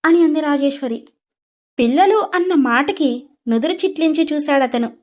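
A woman's voice: high-pitched, lively intonation, normal speed, darkish tone. A woman storyteller reading a scripted story narration.

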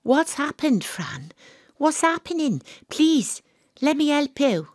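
Wry theatrical private detective. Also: female voice, sits high, big pitch swings, slow, a little mellow, no echo, clean studio sound, heavily acted. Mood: concerned. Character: sympathetic, self-mocking female private detective narrator. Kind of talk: first-person audio drama narration and dialogue.

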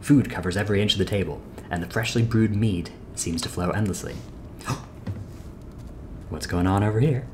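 A man's voice: fairly low pitch, a little hiss or hum. A young man hosting a game playthrough, reading character dialogue aloud in a plain voice and then chatting casually about his choices. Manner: reads character lines plainly, then chats casually with pauses and fillers. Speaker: let's play host. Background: game music.

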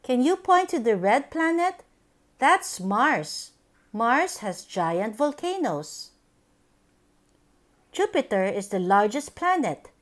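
A woman with a fairly high voice reads a children's picture book aloud. Her delivery is very slow and deliberate, with lively, swooping intonation meant for toddlers. Each phrase is set out simply and expressively. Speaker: children's book reader, channel host.

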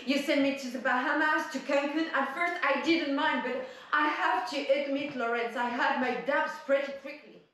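Woman acting a high-voiced distrustful wife, echoing room. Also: steady tone, measured pace, few pauses, fairly bright tone. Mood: suspicious, reproachful. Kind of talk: film drama acting. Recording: very echoey room with clear noise.

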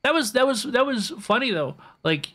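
A woman's voice: on the high side, sing-song, normal speed, a bit bright. A woman live-streaming a game with casual, playful, teasing reaction commentary, often repeating her phrases.

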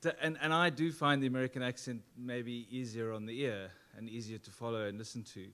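Middle-aged South African audience member, measured and hesitant at a mic. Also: male voice, mid-range voice, steady tone, sharp tone. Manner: hesitant, thoughtful. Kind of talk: asking a question. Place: event hall. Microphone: stand mic.

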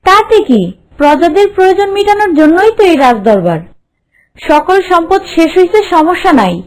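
A woman's voice: very high voice, animated, moderate pace, rough recording. A woman voicing a kind, humble housemaid made queen in a cartoon, plain-spoken with a rural Bangladeshi accent. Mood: caring, decisive. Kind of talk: cartoon voice acting.

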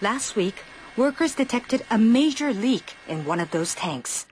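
A woman's voice: a little high, expressive, unhurried, a little hiss or hum. A woman reading scripted news narration as a reporter.

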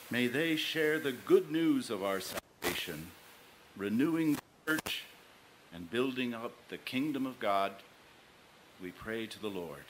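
Older man, lowish voice, reading prayer. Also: a bit bright, slight background noise, rough recording. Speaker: reader of intercessions. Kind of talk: formal read prayer. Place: large tent with congregation. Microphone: gooseneck lectern microphone.

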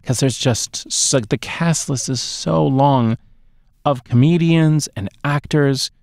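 Guy hosting a movie podcast, lots of vocal fry, dry close mic, chatting casually. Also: medium pitch, slow, darkish tone, dry recording. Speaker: podcast host. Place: small dark home studio, indoors. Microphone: close podcast microphone on boom arm.

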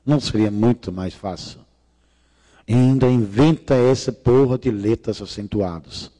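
A man's voice: mid-range voice, unhurried, warm tone. Danish-accented man lecturing in a hall. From Denmark. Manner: jokey, irreverent, swears casually; non-native grammar slips. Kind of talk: conference talk. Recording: reverberant hall.

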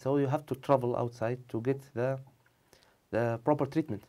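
A man in his twenties with an Arabic accent, answering interview questions in halting, repetitive non-native English. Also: medium pitch, fairly even intonation, mellow. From Saudi Arabia, of Tunisian family. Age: 20s. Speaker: interview guest, medical student. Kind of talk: conversational TV interview. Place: dark TV studio, indoors. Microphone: lapel mic.

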